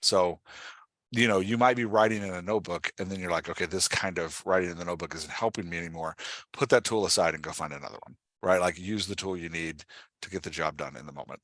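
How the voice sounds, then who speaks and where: A man's voice: low voice, fast, fairly bright tone, no echo, studio quality. A man hosting a conversational coaching interview, explaining with examples and often checking that he is understood. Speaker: podcast host and writing coach.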